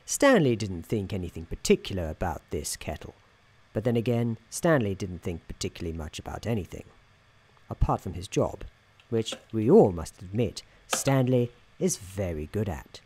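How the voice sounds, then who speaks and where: A soft-spoken man narrating a scripted ASMR story in a wry, mock-grand manner. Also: on the low side, very animated, normal speed, husky.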